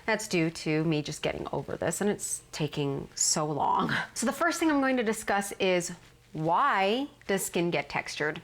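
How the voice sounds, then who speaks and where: A woman's voice: lowish voice, very animated, a little slow. Slightly nasal woman explaining skincare. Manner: earnest, explanatory. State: nasal from a cold. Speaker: skincare vlogger. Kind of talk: casual instructional talk.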